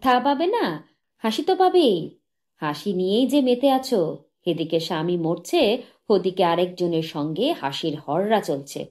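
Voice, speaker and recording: A woman voicing a bitter, diseased husband in an audiobook, jealous and accusing, speaking loudly and emphatically. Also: on the low side, very animated, measured pace. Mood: jealous anger. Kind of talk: dramatised audiobook reading.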